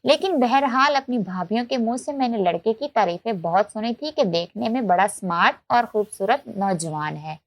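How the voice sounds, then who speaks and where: A woman's voice: on the high side, lively intonation, normal speed, hardly any pauses, dry recording. A woman narrating a scripted, emotional first-person story as a storyteller.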